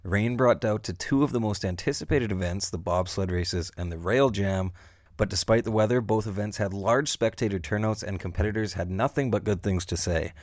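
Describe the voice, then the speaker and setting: A man reads a scripted radio news report in a steady, even way. His voice sits fairly low, and the read is plain and businesslike. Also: moderate pace, dry recording, clean studio sound.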